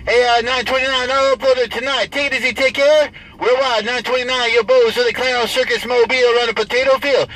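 A man's voice: very high voice, fairly even intonation, fairly quick, few pauses. A man talking in CB radio chatter, making showy, repetitive call-outs full of catchphrases and call signs. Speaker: CB radio operator and host. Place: inside a vehicle. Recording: very noisy CB radio recorded in vehicle. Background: loud radio and vehicle noise.

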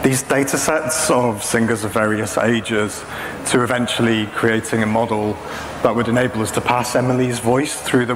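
A man in his thirties presenting a technical talk, explaining step by step in a measured, hesitant way. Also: on the low side. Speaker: conference speaker. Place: indoor talk stage with projection screen. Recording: echoey hall PA with clear background noise.

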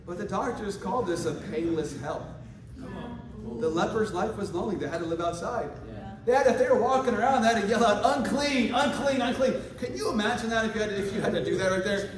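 A man preaching a lively sermon, swinging from quiet asides to shouted exhortation and acting out quoted voices. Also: high voice, fairly quick, crowd noise. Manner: acts out quoted voices for laughs. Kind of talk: lively sermon with congregation responses. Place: large church hall. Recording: echoey hall, far from mic, congregation. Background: congregation responding.

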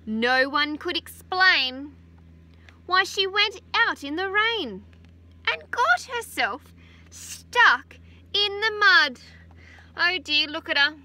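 An Australian woman in her thirties, a teacher gently reading a picture book aloud to children outdoors on a farm, adding chatty asides. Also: extremely high pitch, expressive, slow, pauses often. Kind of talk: children's story time read-aloud. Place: outdoors on a farm beside a utility vehicle. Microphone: phone camera held close.

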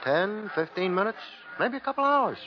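An actor playing a chatty, offhand bus station clerk in a casual 1950s radio drama style. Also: male voice, high-pitched, big pitch swings, normal speed. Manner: casual, colloquial. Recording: dull old 1951 radio recording.

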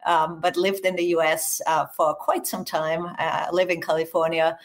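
A middle-aged woman with a blended American and Austrian accent and a fairly low voice. She talks with eager enthusiasm as a podcast guest, stumbling through fillers and restarts. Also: level tone, normal speed. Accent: mix of American and Austrian. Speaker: guest, HR research executive. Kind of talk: podcast interview.

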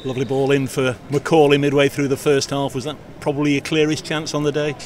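Guy doing the club interview, talks fast, chatty questions, outside by the pitch, bit of background noise. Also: little pitch movement, a little mellow. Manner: brisk, conversational questioning. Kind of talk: post-match interview questions. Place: outdoors by a football pitch.

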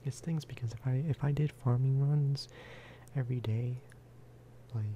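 A man giving casual, rambling ASMR game commentary, speaking softly and often slipping into whispers. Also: medium pitch, steady tone, normal speed, slight background noise. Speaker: gaming video host.

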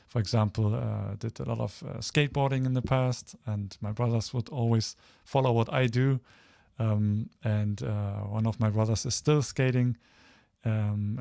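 A man in his thirties from Germany presents his slides informally over a video call. He speaks hesitantly, feeling for the next word, at a medium pitch. The sound is dry, with little room around it. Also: vocal fry, darkish tone, dry recording. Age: 30s. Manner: hesitant, searching for words. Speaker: guest speaker, photographer.

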